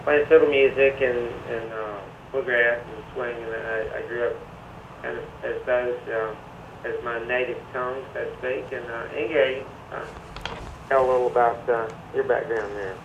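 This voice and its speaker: Gravelly man, relaxed. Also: mid-range voice, moderate pace, background noise. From Middle Tennessee. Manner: relaxed, many "uh" fillers. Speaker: musician guest. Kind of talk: casual interview answers. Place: remote link to a TV studio.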